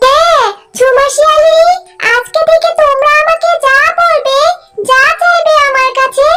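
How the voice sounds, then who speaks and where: A woman's voice: very high-pitched, steady tone, moderate pace, sharp tone. A woman voicing an obliging magical talking apple house in a cartoon, speaking earnestly.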